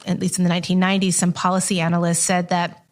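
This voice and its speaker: Woman ethnographer, thoughtful, filler-heavy, slightly low pitch, panel talk. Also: monotone, fast talker. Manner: thoughtful, many "you know" fillers. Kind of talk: roundtable discussion.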